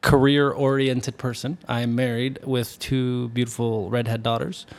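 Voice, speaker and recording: A young American man talking as a podcast guest, easygoing and self-deprecating, and quick to laugh. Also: medium pitch, little pitch movement. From United States. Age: young adult. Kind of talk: casual podcast chat.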